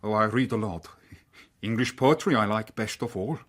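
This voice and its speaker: A man's voice: lowish voice, moderate pace, a bit bright. Good-natured young man. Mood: enthusiastic. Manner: precise foreign phrasing, earnest. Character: big young Danish trader, open, good-natured, bookish and enthusiastic. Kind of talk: radio drama acting.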